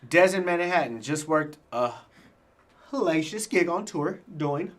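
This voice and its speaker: A man's voice: a little high, animated, measured pace. A man co-hosting a live show, talking loosely and often repeating himself. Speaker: co-host. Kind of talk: casual live show chat.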